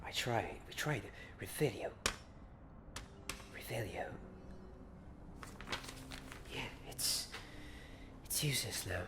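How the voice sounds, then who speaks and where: A woman playing an eager wizard-school student in a soft, hesitant, often whispered ASMR roleplay. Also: a bass voice, lively intonation, takes it slowly, pauses often, heavily acted. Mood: wistful, hesitant. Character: eager Hogwarts student hunting for a secret ghost party. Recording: noisy ambience.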